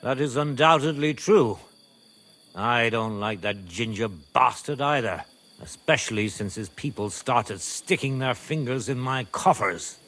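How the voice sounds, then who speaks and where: A man's voice: mid-range voice, expressive, unhurried, fairly bright tone. World-weary middle-aged medieval nobleman. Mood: skeptical. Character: wary, pragmatic medieval nobleman and father of grown daughter. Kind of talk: video game cutscene acting.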